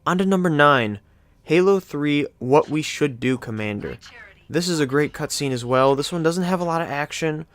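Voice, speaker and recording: Clear-voiced, enthusiastic young man. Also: a little high, animated, moderate pace. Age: young adult. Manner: enthusiastic, effusive. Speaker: video game channel host. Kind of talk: casual countdown commentary.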